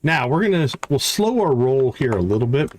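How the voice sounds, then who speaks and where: A man gives casual live gameplay commentary, thinking aloud at an unhurried gait. His intonation is lively, and faint noise and clicks sit behind his voice. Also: mid-range voice. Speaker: game livestream host.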